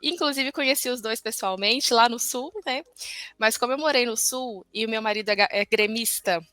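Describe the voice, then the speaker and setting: A woman's voice: a little high, moderate pace, bright, forceful tone, no room sound. A woman from Minas Gerais with a Brazilian Portuguese accent, a guest chatting in a friendly way and often saying "né" and "então", on a remote call from home. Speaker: guest entrepreneur. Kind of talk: casual interview chat.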